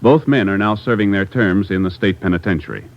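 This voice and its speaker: Steady, low-voiced 1950s radio narrator, a man. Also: fairly quick. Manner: steady, official, reading case results. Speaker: narrator and announcer. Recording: dull lo-fi old radio recording.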